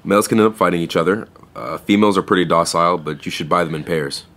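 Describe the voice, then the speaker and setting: A deep-voiced, deadpan young store clerk. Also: male voice. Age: young adult. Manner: deadpan, blunt, unhurried. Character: bored, indifferent young male pet store clerk. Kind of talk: comedic audio drama acting.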